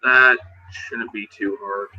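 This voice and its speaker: A man playing a laid-back, plain-spoken werewolf in casual, halting improvised roleplay. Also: fairly low pitch, fairly even intonation, highs cut off. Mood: dry. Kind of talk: improvised in-character roleplay dialogue.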